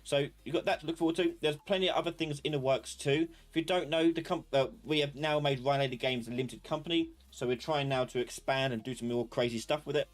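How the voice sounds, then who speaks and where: A man's voice: on the high side, fast talker, few pauses. A man hosting a live online class, talking informally and thinking aloud as he rambles through his points. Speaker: live class instructor and channel host. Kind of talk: casual live-stream tutorial talk.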